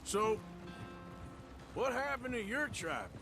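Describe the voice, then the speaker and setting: An actor playing a gruff, teasing American cowboy outlaw with a Western drawl in a casual video game performance. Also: male voice, very high-pitched, sing-song, slow, over music, exaggerated voice.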